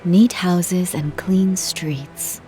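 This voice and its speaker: A woman playing a courteous, reflective, dignified travelling performer in a video game, with measured formal delivery. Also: on the low side, fairly even intonation, mellow. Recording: music under the voice.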